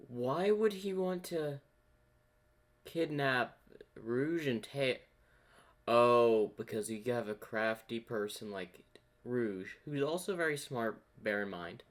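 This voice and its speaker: A man's voice: medium pitch, lively intonation, slow pace. A man hosting a reaction video, musing aloud in casual commentary.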